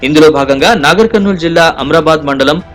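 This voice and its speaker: A man's voice: fairly high pitch, fast, fairly bright tone, soft background music. A man reading scripted news narration in a formal, urgent manner. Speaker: news reporter doing voice-over.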